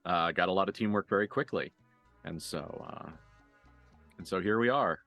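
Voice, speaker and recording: A man running a tabletop role-playing game as game master, improvising narration and dialogue in a casual, halting, sweary way. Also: deep voice, a bit bright, soft background music.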